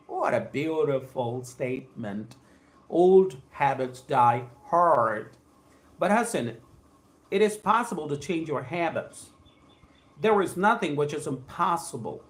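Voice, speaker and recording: A man with a South Indian accent teaching English on a live stream, reading aloud and addressing viewers in an effusive, formal way, repeating his phrases. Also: on the high side. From Andhra Pradesh, India. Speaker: spoken English trainer hosting a live stream.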